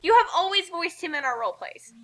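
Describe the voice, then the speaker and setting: High, bright-voiced woman riffing with friends. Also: big pitch swings, quick pace. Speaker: commentary host. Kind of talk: casual riffing commentary with friends. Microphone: online voice call.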